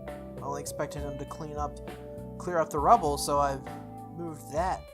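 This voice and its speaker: A let's player of unclear sex reading a visual novel aloud, animated, breaking off for casual asides. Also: mid-range voice, moderate pace. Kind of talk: gameplay commentary and dramatic read-aloud. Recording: music behind the voice. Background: music.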